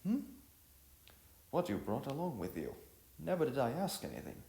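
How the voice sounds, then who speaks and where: Man reading as friendly young woman, halting informal lines, creaky voice, roomy echo. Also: mid-range voice, big pitch swings, voice pushed up. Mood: curious. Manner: informal, gently teasing, halting. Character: friendly, teasing young woman welcoming a guest. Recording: roomy echo with music behind.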